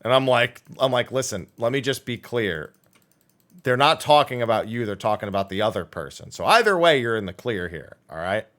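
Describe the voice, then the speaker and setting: Animated man telling stories on a livestream. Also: medium pitch, fairly quick. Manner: animated anecdotes, acting out dialogue, profane. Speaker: livestreamer host. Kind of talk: casual livestream storytelling.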